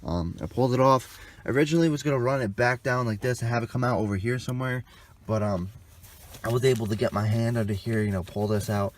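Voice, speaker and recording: A man's voice: mid-range voice, fast. A young adult man giving a casual, informal and rambling hands-on walkthrough as a vlogger. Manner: informal, rambling, mild swearing. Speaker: vlogger demonstrating a car radio installation. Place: in and around a parked car outdoors.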